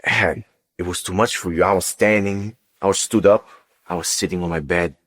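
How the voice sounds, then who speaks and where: Low voiced guy, telling his own story, kinda halting, repeats himself for emphasis. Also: steady tone, fairly bright tone. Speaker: guest giving a personal testimony. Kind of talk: conversational personal storytelling.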